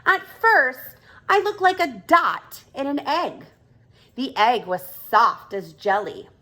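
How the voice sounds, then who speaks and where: A woman's voice: high voice, very animated, slow pace, bright, forceful tone, exaggerated voice. A woman voicing a friendly grown-up frog in a children's storybook, explaining things patiently and with emphasis. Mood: enthusiastic, explaining. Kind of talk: children's storybook read-aloud dialogue.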